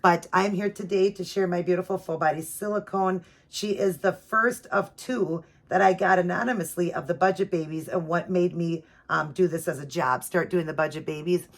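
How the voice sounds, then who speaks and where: A middle-aged woman, hoarse and raspy from allergies, chatting casually as host of her own video channel with plenty of ums and you-knows. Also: lowish voice, moderate pace, hardly any pauses. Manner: chatty with many fillers.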